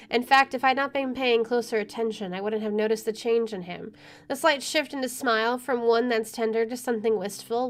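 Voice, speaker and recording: An expressive woman playing a game, reading its narration aloud and adding casual, opinionated commentary. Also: on the high side. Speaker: let's player. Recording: faint music underneath.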